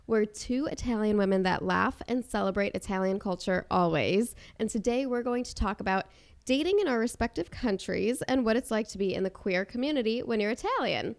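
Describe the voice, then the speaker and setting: A woman's voice: medium pitch, expressive, a little fast, gravelly. Upbeat woman podcast co-host. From Chicago. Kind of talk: casual chat.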